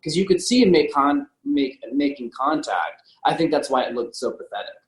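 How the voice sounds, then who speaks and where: A man's voice: a little high, animated, normal speed. A man co-hosting a podcast in a casual film discussion, recorded with an echoey, roomy sound. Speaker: podcast co-host.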